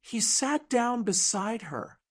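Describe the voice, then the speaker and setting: Slow-paced woman narrating fiction. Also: on the high side, very animated, slightly rough. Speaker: audiobook narrator. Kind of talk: scripted dramatic fiction narration.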